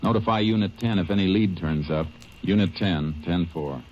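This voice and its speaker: An actor playing a steady, methodical Texas Ranger detective in an old radio drama, speaking calm and low. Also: male voice, normal speed, background noise, close to an everyday voice. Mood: level-headed. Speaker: lead actor. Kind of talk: 1950s radio drama acting. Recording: muffled old radio recording.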